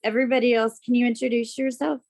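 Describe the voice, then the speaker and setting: A woman's voice: fairly high pitch, flat delivery, fast, creaky, darkish tone, no room sound, clean studio sound. A woman chatting casually and effusively with a group on a video call.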